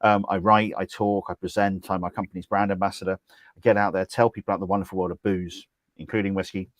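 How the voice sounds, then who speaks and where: A middle-aged man with a very low voice co-hosts a whisky tasting over a video call. His humour is dry and wry. The sound is close and dead, with no room around it. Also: level tone, fast talker, dry recording. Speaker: co-host, drinks ambassador. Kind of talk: casual livestream chat.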